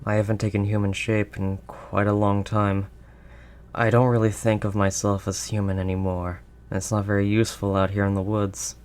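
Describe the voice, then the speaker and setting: A man voicing a gruff but kindly werewolf of the wild woods in an intimate audio roleplay, with a halting, gently protective manner. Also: fairly low pitch, little pitch movement, natural voice. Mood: bashful. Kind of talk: audio roleplay, intimate second-person acting.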